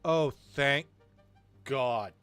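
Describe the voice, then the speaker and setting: A man's voice: medium pitch, drawn-out words, fairly bright tone, clean tone, no room sound, soft background music. A man streaming a game, chatting casually and rambling to himself as he checks his microphone and sets things up. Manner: rambling, talks to himself, drops into muttered asides. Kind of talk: casual live-stream chat while setting up. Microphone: desk microphone he is testing.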